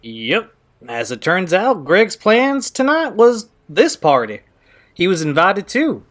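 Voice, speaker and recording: An actor playing a playful, excitable male friend in an audio drama, talking in a breezy, folksy way. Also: sits high, takes it slowly, full character voice. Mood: playful, excited.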